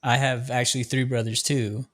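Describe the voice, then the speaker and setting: A man's voice: medium pitch, normal speed. Easygoing young man hosting a podcast. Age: young adult. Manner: relaxed, friendly questions with filler "uh". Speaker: podcast host. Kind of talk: casual interview conversation.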